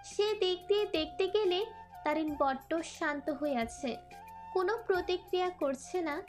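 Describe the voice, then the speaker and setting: একজন নারী কথক লিখিত গল্প পাঠ করে শোনাচ্ছেন।. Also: female voice, very high voice, a little slow. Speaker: story narrator. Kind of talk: scripted story narration. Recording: background music under narration. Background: music.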